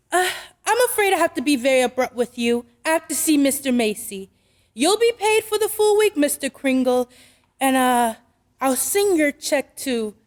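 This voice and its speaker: An actress playing a brisk, skeptical working mother in an old-time radio drama, speaking firmly and properly. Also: high-pitched, long reverb tail. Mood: apologetic, businesslike. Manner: firm, deliberate, proper.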